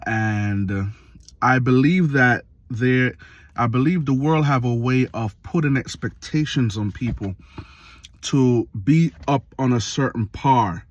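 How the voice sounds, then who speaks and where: A man in his late thirties, from Jamaica, giving a reflective casual monologue for his video channel, slow and full of pauses and fillers. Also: medium pitch. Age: 30s. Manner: slow, pausing often with fillers. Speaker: vlogger.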